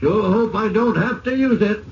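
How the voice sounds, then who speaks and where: An actor playing a laconic, folksy rural guard who makes terse, stubborn threats in a radio drama. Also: male voice, sits high, normal speed, lightly acted. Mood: quietly threatening. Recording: dull, noisy old radio recording.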